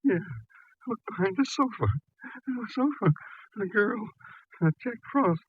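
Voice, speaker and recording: Unhinged confessing killer, old radio drama, distraught, high swooping voice, repeats himself, dull recording. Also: male voice, expressive, a little slow, breathy edge, no room sound, heavily acted. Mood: broken, confessing. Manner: stammering, repetitive, frantic. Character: broken, raving male killer confessing to murder. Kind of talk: 1940s radio drama acting.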